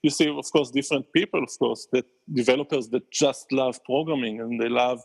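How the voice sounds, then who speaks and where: Man, Israeli accent, gravelly, middle pitch, podcast guest, halting answers. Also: steady tone. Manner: thoughtful, many restarts, laughs often. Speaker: guest, company founder. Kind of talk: podcast interview.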